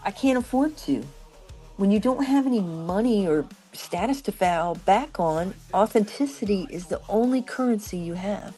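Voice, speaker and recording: A woman's voice: mid-range voice, animated, normal speed, warm tone, soft background music, natural voice. A woman playing a candid, wary teenage girl in a dramatic script reading. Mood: earnest.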